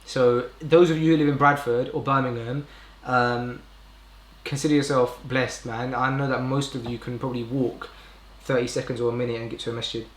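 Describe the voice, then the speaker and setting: A man's voice: medium pitch, level tone, moderate pace. A young British man hosting a casual live stream, talking informally in a rambling way and often correcting himself. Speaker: podcast host live streaming. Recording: roomy indoor echo.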